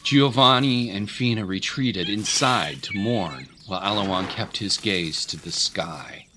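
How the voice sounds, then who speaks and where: A man's voice: fairly low pitch, measured pace, background noise. A man narrating an audio drama in a dramatic, scripted storytelling style.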